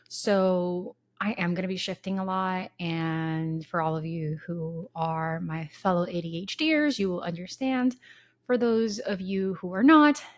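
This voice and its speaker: A woman in her thirties hosting her own podcast, talking casually and candidly with rambling asides, sounding congested from a lingering cold. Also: mid-range voice. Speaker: podcast host. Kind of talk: casual solo podcast talk.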